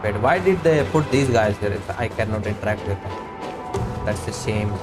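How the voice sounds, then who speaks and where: A woman with an extremely low voice reacts to a game on a livestream. Her commentary is casual, informal and offhand, with loud game music playing behind her. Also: expressive, normal speed.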